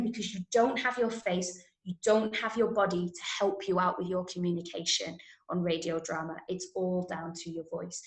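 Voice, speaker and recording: A woman with an English accent teaching an online drama workshop, enunciating clearly and coaching with encouragement. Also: medium pitch. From Manchester, England. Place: indoor room, online video call. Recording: roomy, echoing video-call sound.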